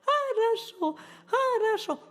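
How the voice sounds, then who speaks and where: A woman's voice: very high voice, expressive, slow pace, in a large hall, voice pushed up, full character voice. A woman voicing a small, sly, lazy female mouse in a children's tale, with a sing-song delivery that repeats its words. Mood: gleeful.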